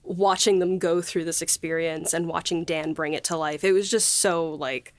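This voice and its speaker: A woman hosting a casual behind-the-scenes podcast chat, speaking effusively and quick to laugh. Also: fairly low pitch, steady tone, no room sound. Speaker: podcast host and audio drama writer.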